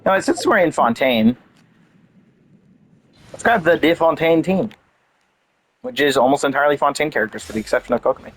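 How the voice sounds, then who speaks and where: A man's voice: on the high side, animated, fairly quick, long pauses, a bit bright, a little hiss or hum. A man giving casual gameplay commentary, thinking aloud in an offhand way. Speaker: game streamer.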